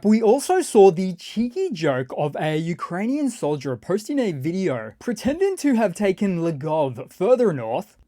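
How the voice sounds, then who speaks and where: A news commentator narrating scripted war-map updates in a measured, explanatory way with wry asides. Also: mid-range voice, mellow, dry recording, clean studio sound. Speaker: news commentator and channel host.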